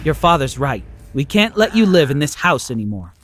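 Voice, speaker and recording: A man's voice: on the high side, sing-song, fairly quick, a bit bright. A man voicing a mother who is shocked and stern with her son. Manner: stern, disbelieving.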